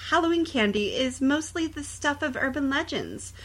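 A woman's voice: high voice, animated, normal speed. A woman co-hosting a podcast, casually reading out true crime stories with sardonic, sometimes profane asides. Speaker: podcast co-host.

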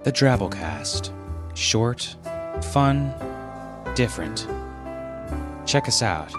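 A man's voice: medium pitch, sing-song, extremely slow. A man reading a scripted podcast promo as the show's host. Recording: music bed under the voice. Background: music.

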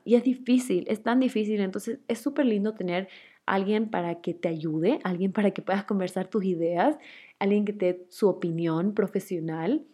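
A woman's voice: medium pitch, dark tone. Chatty young woman with a Guayaquil accent. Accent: Ecuadorian, Guayaquil. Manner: chatty, laughs often, lots of fillers. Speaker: podcast host answering listener questions. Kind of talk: casual chat.

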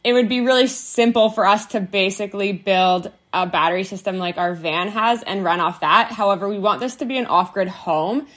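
Relaxed woman guest explaining things. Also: mid-range voice, normal speed, hardly any pauses. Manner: relaxed, explanatory, uses "like" often. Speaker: podcast guest. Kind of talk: casual interview chat.

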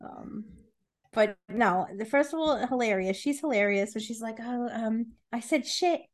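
A woman's voice: a little high, unhurried. A woman co-hosting a podcast, chatting casually and arguing in a dramatic, emphatic, sweary way. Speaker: podcast co-host. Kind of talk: casual chat, friendly argument.

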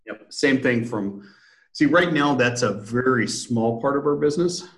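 A man's voice: mid-range voice, lively intonation, a little slow, a bit bright. A man, a sales director, explaining plans in an interview, hesitant with many fillers. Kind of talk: conversational business interview.

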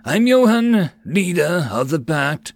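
Man doing a slow, soft-spoken young messiah figure, gentle, calm, slightly raised. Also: on the high side, vocal fry, voice pushed up, heavily acted. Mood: serene.

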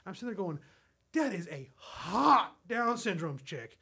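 Animated, husky-voiced man rambling. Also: high-pitched, a little slow. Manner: rambling, repeats himself, swears. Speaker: podcast host. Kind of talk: casual crude comedy chat.

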